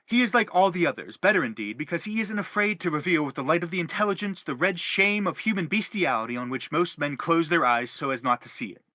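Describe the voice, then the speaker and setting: A man's voice: fairly high pitch, animated, fast, few pauses, pushed and bright, no room sound. An actor playing a middle-aged father in a stage play, formal and declamatory as he anguishes and justifies himself. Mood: insistent. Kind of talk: theatrical stage play acting.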